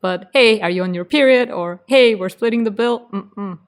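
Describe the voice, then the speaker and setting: A woman from Bulgaria, co-hosting a podcast in relaxed, chatty talk with soft agreeing murmurs. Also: on the high side, normal speed. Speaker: podcast co-host. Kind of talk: casual chat.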